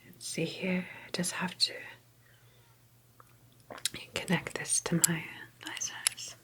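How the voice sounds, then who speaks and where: Whispering, slow-paced woman playing office agent. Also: on the low side, flat delivery. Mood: calm, methodical. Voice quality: whispered, breathy. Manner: unhurried, hesitant, officious, softly polite. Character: slow, meticulous, prim female time-travel office agent. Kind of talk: ASMR roleplay.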